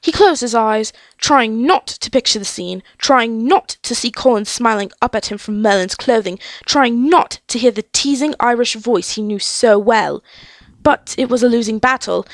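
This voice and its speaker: A woman reading fiction aloud as an amateur audiobook-style narrator, with wry, dramatic emphasis and drawn-out key words. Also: fairly high pitch, dry recording. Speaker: amateur fan fiction reader. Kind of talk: expressive scripted story narration.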